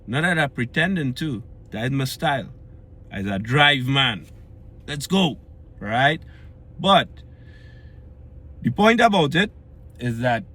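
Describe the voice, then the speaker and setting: A man with a Trinidadian accent speaks in a bright, forceful voice, sitting a little above the usual pitch for men. He talks straight to the camera, earnest and motivational, and keeps checking in with "right?" as he gives frank fitness advice. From Trinidad and Tobago. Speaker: fitness vlogger. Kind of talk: casual straight-talking vlog.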